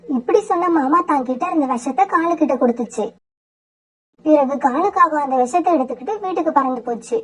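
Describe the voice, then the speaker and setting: High-voiced woman narrating a cartoon. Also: a little fast. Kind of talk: scripted storybook narration.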